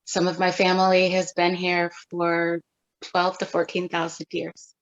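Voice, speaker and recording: A middle-aged woman from California, a photographer answering interview questions in a thoughtful, measured way with pauses. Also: fairly low pitch, monotone, highs cut off. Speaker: guest photographer.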